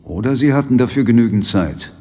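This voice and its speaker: Ein Schauspieler spielt in einem Hörspiel einen nüchternen, wachsamen britischen Polizeiinspektor mit sachlicher, skeptischer Art. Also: male voice, mid-range voice, animated, fairly quick, dark tone, slightly rough, long reverb tail. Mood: wary, sober. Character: sober, wary male British police inspector. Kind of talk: naturalistic audio drama acting. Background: music.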